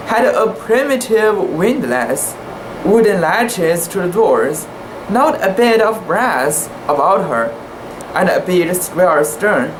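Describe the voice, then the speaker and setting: A woman giving an amateur dramatic reading of a classic sea story, careful and deliberate, with the occasional stumble. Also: mid-range voice. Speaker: amateur reader. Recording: echoey room with steady background hiss.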